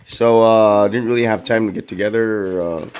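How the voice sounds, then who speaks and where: A man in his thirties, still a bit hoarse, co-hosting a casual talk show and rambling with lots of "like" and "you know". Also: on the low side, fairly even intonation, moderate pace, darkish tone, a little hiss or hum. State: hoarse, recovering from a lost voice. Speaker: co-host. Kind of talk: casual chat.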